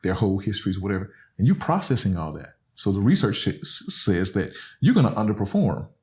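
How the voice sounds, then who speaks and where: A man's voice: lowish voice, big pitch swings, fairly quick, a little mellow. A middle-aged man from Alabama, a professor talking earnestly as a podcast guest, often tagging "right?" and "you know". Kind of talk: reflective conversational explanation.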